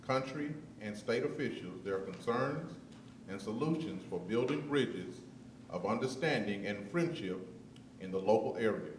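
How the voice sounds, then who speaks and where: A man, a city councilmember, reading a formal proclamation aloud in a steady way. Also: fairly low pitch, a little hiss or hum. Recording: echoey chamber, mic from far off.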